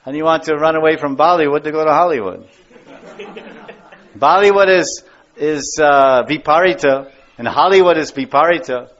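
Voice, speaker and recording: A man's voice: a little high, normal speed, a bit bright. An American man giving a devotional lecture as a spiritual teacher, speaking emphatically and repeating key words for stress. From United States. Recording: dull lo-fi lecture recording.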